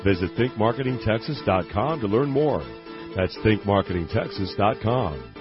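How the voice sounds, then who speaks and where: A man reads a radio advertisement with a persuasive, emphatic delivery. His pitch is low but moves around a lot. Clear music plays under the dull broadcast sound. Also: animated, moderate pace. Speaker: radio ad announcer. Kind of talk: scripted radio ad read.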